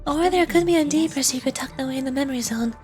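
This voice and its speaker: A woman with a high voice plays through a story game on stream. She reads the on-screen text aloud dramatically and drops into casual reactions, with game music playing behind her. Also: quick pace. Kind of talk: live game playthrough, reading dialogue aloud and reacting. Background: video game music.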